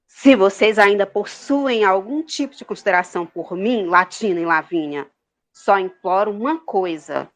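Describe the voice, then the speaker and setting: A woman's voice: medium pitch, moderate pace, a bit bright, lightly acted. A woman playing a haughty, melodramatic queen and mother in a comedic audio drama, imperious and theatrical. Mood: pleading.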